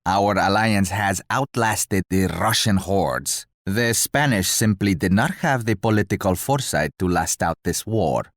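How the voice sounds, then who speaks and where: Stately, low-voiced colonial-era ruler. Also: male voice, unhurried, hardly any pauses, dry recording, clean studio sound. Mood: proud, grave. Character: stately, courteous male colonial-era ruler, an allied leader. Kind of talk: scripted video game voice lines.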